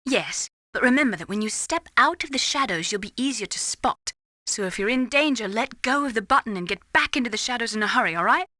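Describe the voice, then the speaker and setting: A woman playing a brisk, helpful military radio advisor in a video game, giving cautioning instructions. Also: fairly high pitch, animated, pushed and bright, dry recording, clean studio sound. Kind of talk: video game tutorial voice acting.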